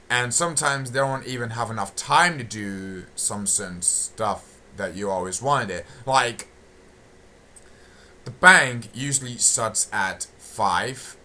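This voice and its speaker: A young British man recording a casual audio log at home, circling back over the same points with plenty of fillers and false starts. His voice has a bright, tense edge, and a faint hiss sits under the recording. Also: on the low side. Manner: repetitive, meandering, many restarts and fillers. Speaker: channel host.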